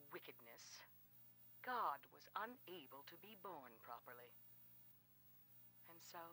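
An actress playing a devout, uneasy religious sister in a video game, speaking solemnly and reverently. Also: female voice, on the low side, pushed and bright, slight background noise, exaggerated voice, rough recording. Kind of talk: dramatic game dialogue.

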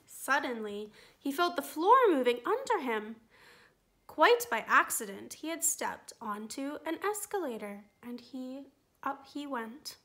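Woman, children's book reading, high voice, bright, gentle. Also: sing-song, unhurried. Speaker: storytime reader. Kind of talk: picture-book read-aloud.

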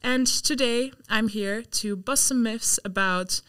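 Young woman, podcast host, smooth clear voice, dry close sound, chatty. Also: a little high, slow pace, a bit bright, no room sound. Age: young adult. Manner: chatty, upbeat, many "yeah" fillers. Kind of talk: casual interview chat. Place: university studio room. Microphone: desk mic on stand.

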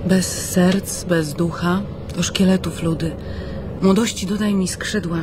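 A woman's voice: on the low side, steady tone, measured pace, close to an everyday voice. An actress in a radio play voicing an eager, forward Polish woman with a love of romantic verse. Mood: declamatory. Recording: clear background ambience noise.

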